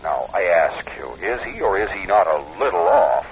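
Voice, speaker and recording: Blunt, urgent friend, animated. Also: male voice, low voice, normal speed. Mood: blunt, insistent. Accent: American. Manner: blunt, insistent, colloquial. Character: urgent, blunt, protective male friend of a bride. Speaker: actor in a radio play. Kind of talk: classic American radio drama acting. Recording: dull lo-fi radio recording.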